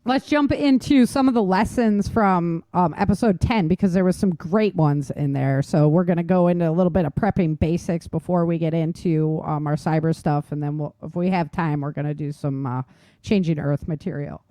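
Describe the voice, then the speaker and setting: A woman's voice: on the low side, normal speed, dark tone, no room sound. A middle-aged American woman hosting a podcast, talking informally and emphatically as she instructs her listeners directly. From United States. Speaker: podcast host. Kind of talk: casual instructional podcast talk.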